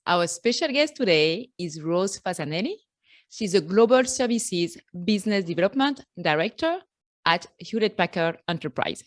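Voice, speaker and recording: A woman's voice: fairly low pitch, animated, moderate pace, no room sound. Upbeat woman podcast host, dry close sound. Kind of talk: scripted intro and interview questions.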